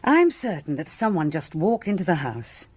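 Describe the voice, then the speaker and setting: An actress playing a composed, genteel middle-aged British village hostess in a radio drama, articulate and reserved. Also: female voice, low-pitched, big pitch swings, moderate pace, husky, heavily acted. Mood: grimly composed.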